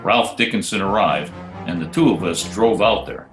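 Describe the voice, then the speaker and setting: An actor playing a wisecracking, sardonic private detective who also narrates, in a 1940s-style radio drama. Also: male voice, deep voice, moderate pace, lightly acted. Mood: matter-of-fact. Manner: dry, sardonic. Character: wisecracking hard-boiled private detective, narrating his case. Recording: roomy remote home recording, faint music.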